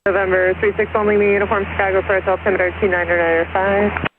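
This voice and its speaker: A man working as an approach controller, giving brisk, clipped radio calls. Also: high-pitched, flat delivery, narrow, tinny audio, background noise. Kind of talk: radio phraseology.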